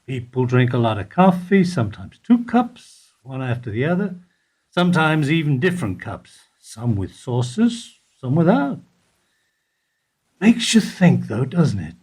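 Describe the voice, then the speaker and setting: A man acting in a radio play as an evasive older bank employee who answers in a guarded, deliberate way. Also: mid-range voice, big pitch swings, a little mellow, slightly rough. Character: evasive older male bank foyer employee under questioning.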